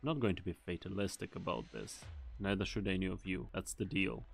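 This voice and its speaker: A man playing a grave, calculating mercenary team leader with a measured, serious delivery in an amateur game dub. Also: deep voice, gravelly, slightly rough, soft background music, heavily acted. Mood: grave, resolute.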